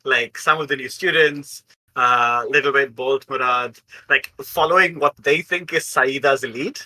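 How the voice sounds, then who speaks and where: A man telling an improvised story casually as a game player, haltingly piecing it together aloud. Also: medium pitch, pushed and bright, low-quality recording.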